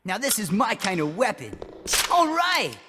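Music and long reverb surround a cocky teenage boy from a video game. He is boastful and easily riled. His delivery is boyish, with big pitch swings and an unhurried pace. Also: huge pitch swings, exaggerated voice. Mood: excited, cocky. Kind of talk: video game cutscene acting.

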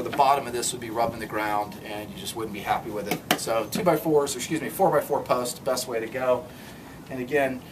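A middle-aged man giving an unscripted do-it-yourself tutorial in a driveway, explaining in a relaxed way with many ums and uhs. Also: mid-range voice. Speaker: DIY instructor. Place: outdoor driveway in front of a garage. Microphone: camera mic from a few meters away. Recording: distant camera mic, audible background noise.

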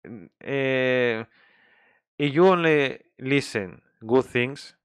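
Slow, halting man speaking English. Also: medium pitch, clean tone, dry recording, clean studio sound. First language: Spanish. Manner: halting, frequent restarts and fillers; laughs often. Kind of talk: casual group chat in English.

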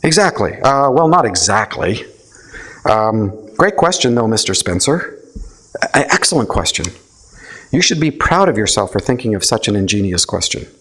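A man reading a story aloud and voicing ego, a theatrical, scheming male spirit who flatters and stalls. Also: mid-range voice, a little fast, poor audio. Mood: sly. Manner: oily, stalling, wheedling.

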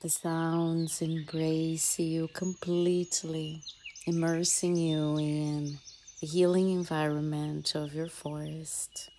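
A woman with a low voice guides a relaxation practice as a mindfulness teacher. She speaks extremely slowly and gently, leaving long pauses between short phrases that trail into soft breath. Faint birdsong and nature ambience sit behind her voice. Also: level tone, a little hiss or hum. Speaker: yoga and mindfulness teacher leading a guided meditation. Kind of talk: guided meditation.

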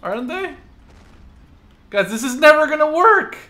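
A man bantering excitedly and urgently while playing a video game, swearing casually. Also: very high voice, a little slow. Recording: clear background noise of game ambience.

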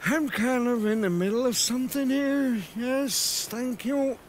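An actor playing a grumpy, sarcastic male sheep who grumbles and complains in an animated film. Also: extremely high pitch, measured pace, a little hiss or hum. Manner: sarcastic, grumbling, then panicked shouting.

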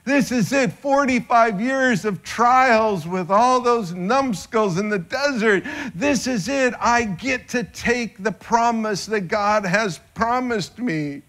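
Slow-talking middle-aged man preaching. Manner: animated, gesturing preacher, rises in pitch when excited. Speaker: pastor. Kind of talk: sermon. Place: church stage with band instruments.

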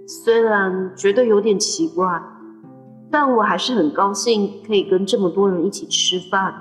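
A woman playing a gentle, anxious fourteen-year-old boy in an audio drama, hesitant, earnest and polite. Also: medium pitch, normal speed, lightly acted. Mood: puzzled. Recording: background music under the voice.

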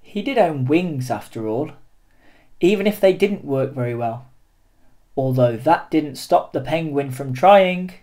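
A storyteller reading a children's picture book aloud in an expressive, deliberate and dramatic way for young listeners. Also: mid-range voice.

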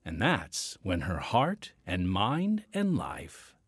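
A man's voice: low voice, wildly swooping pitch, takes it slowly, very noisy. A man delivering dramatic scripted narration as the announcer of a radio drama. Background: music.

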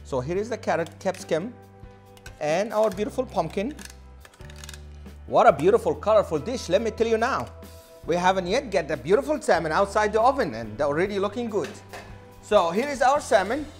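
A man's voice: high voice, very animated, brisk, faint music behind. A man in his thirties, a chef giving an enthusiastic cooking demonstration on a studio kitchen set, on a lapel mic. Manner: enthusiastic, non-native phrasing.

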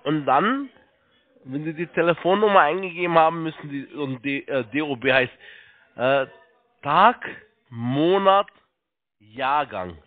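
A man working as a call-centre agent, giving halting step-by-step instructions, repeating himself and spelling things out. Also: on the high side, animated. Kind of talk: step-by-step phone sales instructions. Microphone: telephone. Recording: tinny narrow phone line.

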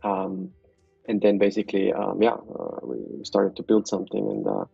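Deep husky man from Austria on a call. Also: normal speed, no room sound, muffled recording, faint music behind. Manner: relaxed, hesitant, many fillers. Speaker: guest, software developer. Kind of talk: casual video call interview. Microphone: video call.

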